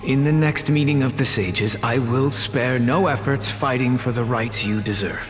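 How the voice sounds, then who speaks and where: An actor playing a solemn, weary learned man in a video game, with a grave, formal, measured delivery. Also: medium pitch, close to an everyday voice. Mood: resolute. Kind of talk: dramatic video game voice acting. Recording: long reverb with prominent background music.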